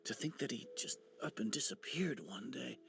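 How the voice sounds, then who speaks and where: A worried villager in a video game. His creaky, medium-pitched voice moves expressively, earnest and anxious. Faint music plays behind. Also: animated, moderate pace, a bit bright. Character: worried, earnest male villager, fond and pleading. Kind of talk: video game character acting.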